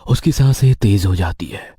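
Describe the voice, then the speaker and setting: Read this horror story as a male narrator: keep it low-pitched and rough-edged. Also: expressive, moderate pace, warm tone, no echo, clean studio sound.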